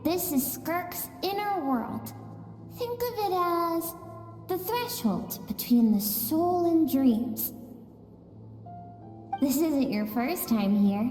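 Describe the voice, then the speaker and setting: An actress voicing a gentle, cryptic female spirit guide in a video game, speaking softly in an unhurried, musing way. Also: high voice, heavily acted. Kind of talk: video game story voice acting. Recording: reverberant game audio with music.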